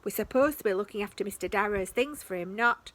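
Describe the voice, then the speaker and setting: A woman's voice: medium pitch, fast talker, natural voice. A woman voicing Max, a cautious and thoughtful young boy in a storybook reading. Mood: worried.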